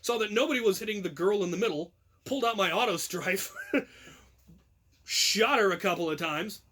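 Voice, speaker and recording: A man's voice: high-pitched, normal speed, pushed and bright. A man casually telling a long story with lots of ums and restarts, chuckling at himself now and then. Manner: rambling, self-amused, frequent ums and restarts. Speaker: channel host telling a story.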